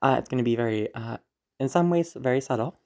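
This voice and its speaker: A woman audio creator speaking casually and haltingly, giving a reassuring, informal notice to listeners. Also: a bass voice, dark tone. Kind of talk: casual spoken content warning.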